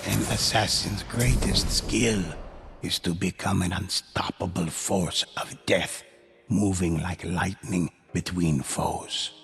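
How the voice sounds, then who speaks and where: A grave male narrator of legends in a game. His low, gravelly voice moves at a very slow, deliberate pace. Loud music plays under him. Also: fairly even intonation, heavily acted. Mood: solemn. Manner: slow, grave, measured delivery.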